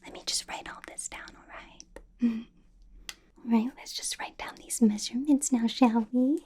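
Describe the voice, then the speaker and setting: A woman playing a doting, possessive vampire boss in a soft, breathy whispered ASMR roleplay, coaxing and fussing with a lightly bossy edge. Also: a little high, fairly even intonation, unhurried, close to an everyday voice. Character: doting, possessive female vampire boss, older than she looks.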